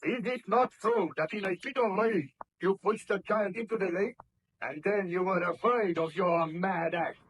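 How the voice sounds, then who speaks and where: An actor playing a stern, accusing village burgomaster, husky and formal, in 1930s radio drama style. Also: male voice, high voice, moderate pace, a bit bright, a little hiss or hum, rough recording. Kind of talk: 1930s radio play acting. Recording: old radio drama recording.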